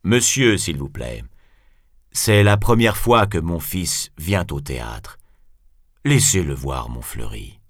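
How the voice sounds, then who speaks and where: A man's voice: low-pitched, very animated, slow, no echo, studio quality, full character voice. A man voicing a timid, polite townsman, hesitant and meek, in a storybook. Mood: polite pleading.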